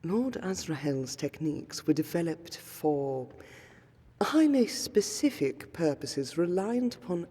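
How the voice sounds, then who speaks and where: Woman as a slow, solemn, low-lit vampire noblewoman in reverb. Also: warm tone, breathy edge, full character voice. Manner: formal, deliberate, measured phrasing with weighty pauses. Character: aristocratic female vampire scholar, solemn, formal and courteous. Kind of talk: scripted fantasy roleplay audio monologue. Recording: long hall-like reverb under prominent music.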